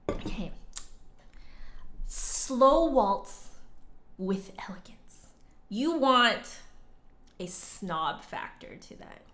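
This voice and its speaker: A woman chatting casually as a livestream host and flute teacher, conversational, with hesitant pauses and emphatic repeats. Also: a little high, big pitch swings, fairly bright tone. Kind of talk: casual livestream chat, answering viewer comments.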